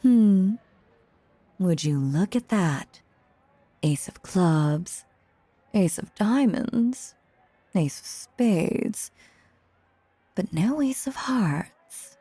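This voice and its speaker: Woman acting a silky, breathy, sinister lady magician. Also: mid-range voice, lively intonation, extremely slow, pauses often, mellow, no room sound, exaggerated voice. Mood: sly, teasing. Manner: theatrical, flirtatious, silky and teasing. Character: sinister, seductive female stage magician, possessively doting. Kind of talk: intimate audio roleplay, scripted acting.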